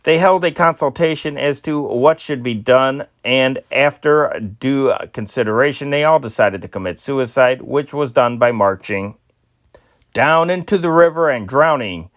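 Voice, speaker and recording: A man's voice: mid-range voice, measured pace, fairly bright tone, no room sound, studio quality. A man reading old newspaper stories aloud as an amateur newsreader, often stumbling over names and correcting himself. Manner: amateur, non-professional delivery.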